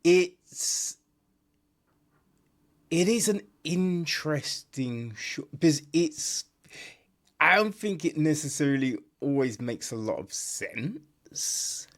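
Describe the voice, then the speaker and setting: Slow-paced, rambling man reviewing films. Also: on the high side, creaky. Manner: rambling, repetitive, peppered with "right?" and "you know"; informal, chummy address, mild swearing. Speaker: podcast host reviewing films. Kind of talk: casual unscripted film review monologue.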